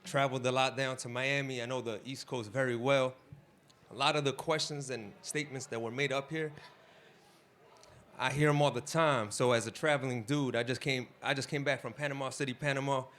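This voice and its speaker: A man's voice: mid-range voice, fairly even intonation, a little fast, a bit bright. A man from New Jersey speaking up from the audience at a community panel, loose and rambling, swearing freely. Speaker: audience member. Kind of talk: casual speech at a community panel.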